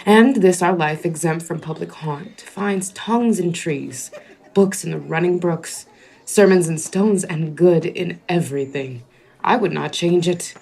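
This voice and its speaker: A woman's voice: on the low side, unhurried, gravelly, a little mellow, breathy edge, heavily acted, low-quality recording. A woman playing a genial, philosophical exiled duke in a Shakespearean radio play, reflective and oratorical. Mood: contented, reflective.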